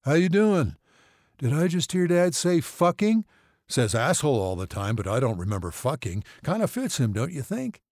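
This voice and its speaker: A man's voice: fairly high pitch, big pitch swings, moderate pace, a little mellow, no echo, studio quality, voice pushed up. A man voicing an injured young man who is dazed, slurred and cheekily woozy on painkillers, in an audiobook. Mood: woozy, playful. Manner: slurred, loopy. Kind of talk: audiobook character acting.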